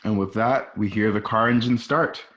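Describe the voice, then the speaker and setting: A man's voice: fairly low pitch, normal speed, fairly bright tone. A man reading scripted scene descriptions as the narrator of a radio play. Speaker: narrator reading stage directions. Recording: muffled home recording.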